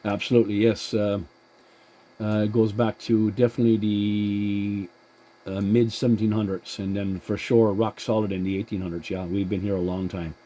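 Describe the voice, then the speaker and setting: A man talks casually as a radio operator. His voice is fairly low, dark and relaxed, and he goes haltingly, often repeating a word before carrying on. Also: a little hiss or hum. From Atlantic Canada.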